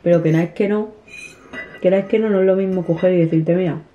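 A woman's voice: on the low side, fairly even intonation, quick pace. A woman in her thirties, a family vlogger, chatting casually to the camera while eating at a table at home. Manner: chatty, restarts often, soft. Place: small room at a dinner table, indoors. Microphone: camera on the table at close range. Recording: tinny, phone-like sound.

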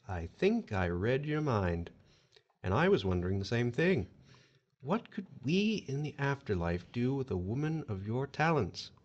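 A suave, formal agent of the afterlife, played by a man, clever and judging. His delivery is theatrical with wide swings of pitch, and the audio is slightly dull. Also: big pitch swings, measured pace. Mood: smooth, stern. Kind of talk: audio horror drama acting.

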